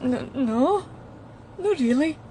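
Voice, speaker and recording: An actress playing a shaken, chatty young woman musician with a Glasgow Scottish accent, talking in a halting, blunt, colloquial way in a radio drama. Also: sits high, lively intonation, mellow, slight background noise. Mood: wry, blunt. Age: young adult. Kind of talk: naturalistic radio drama acting.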